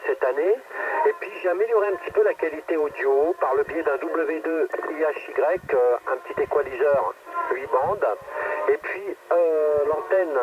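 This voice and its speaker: A man's voice comes through a radio speaker, muffled and covered in clear noise. He is chatty and informal, explaining technical matters to a fellow radio amateur in a slightly high voice. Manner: talkative, informal. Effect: heard through radio receiver. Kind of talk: casual technical chat over radio. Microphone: cheap radio microphone heard through transceiver speaker. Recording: muffled noisy radio reception, distant.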